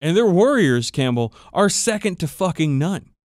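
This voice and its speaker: A man's voice: high-pitched, big pitch swings, moderate pace, darkish tone. A man hosting a podcast, chatting casually and enthusiastically about game lore with emphatic swearing. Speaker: podcast host. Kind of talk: casual, profane hobby chat explaining game lore.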